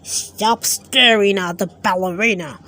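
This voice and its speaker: A woman's voice: mid-range voice, fairly even intonation, a little slow, bright, forceful tone, pitched below the performer's own voice. A woman voicing a bad-tempered male goblin from a storybook, snarling and menacing. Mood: angry, threatening.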